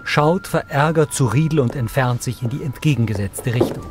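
Scripted narrator, audio description, mid-pitched voice, prominent music behind. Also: normal speed.

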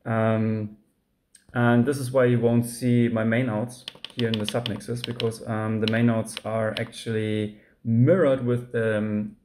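A man's voice: on the low side, flat delivery, a little slow, darkish tone, a little hiss or hum. A man with a German accent, speaking English as a second language, casually walking through his track in a home music studio with many ums and restarts. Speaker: music producer.

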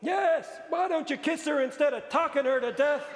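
A man's voice: very high voice, normal speed. A man playing a cranky, meddling old neighbor in a 1940s radio play. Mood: exasperated. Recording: echoey hall from a stage.

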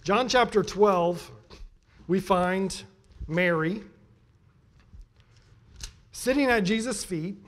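A middle-aged American man giving a sermon as a pastor, emphatic and deliberate in his delivery. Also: sits high, a bit bright. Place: church pulpit, indoors.

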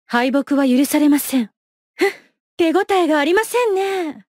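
A woman's voice: high voice, lively intonation, normal speed, a little mellow, dry recording, clean studio sound. An actress playing a poised, haughty young female knight in a fighting game, speaking with formal, imperious politeness and clipped battle cries. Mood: confident, taunting.

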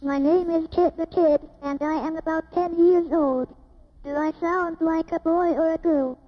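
Fields: Figure: robotic ten-year-old kid played by a speech synthesizer. Pitch: very high. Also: female voice, steady tone, measured pace. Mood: chirpy. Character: synthetic child of about ten, boy or girl. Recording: dull playback in a reverberant hall.

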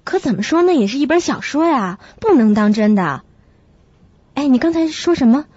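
A woman playing a sensible, caring young flatmate who narrates and acts in a radio drama, with a husky, breathy voice. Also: high-pitched, normal speed, no room sound, lo-fi sound. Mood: reassuring.

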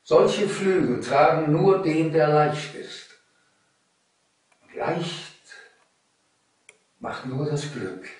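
An actor playing a grave old father in a radio play, speaking slowly and solemnly. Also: fairly high pitch, lively intonation, recorded from a distance. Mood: grave, tender. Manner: slow, measured, solemn. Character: grave, solemn old father teaching his son to fly. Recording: roomy sound with music behind.

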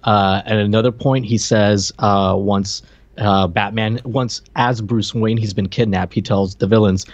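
A man co-hosting a casual, joking movie discussion, retelling scenes in a halting way, on a slightly muffled home recording. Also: on the low side, steady tone, no room sound. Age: late 30s. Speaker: podcast co-host. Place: home room on a video call.

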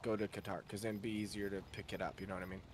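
A man's voice: fairly low pitch, level tone, slightly rough. A man livestreaming a game, rambling in casual chat with lots of fillers. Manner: rambling, filler-heavy, quick and chatty. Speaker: streamer.

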